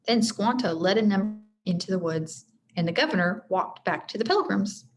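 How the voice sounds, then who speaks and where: A woman reads a children's book aloud in an expressive, teacherly way, turning to address her young listeners. Her voice sits at a medium pitch. The recording has clear background noise. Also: normal speed. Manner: expressive, addresses listeners directly, stumbles over hard names. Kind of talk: read-aloud storybook narration with friendly asides.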